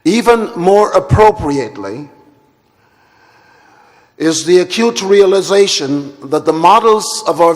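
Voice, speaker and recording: A man from Guyana, giving a formal and deliberate plenary address at a conference. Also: high voice. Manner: measured, deliberate and formal, with long pauses. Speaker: conference speaker. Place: large conference hall. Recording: hall reverb.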